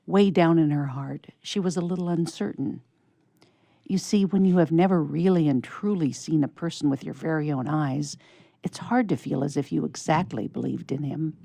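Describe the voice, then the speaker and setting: Low, mellow, creaky woman reading stories. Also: no echo. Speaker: story reader. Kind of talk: expressive storybook narration.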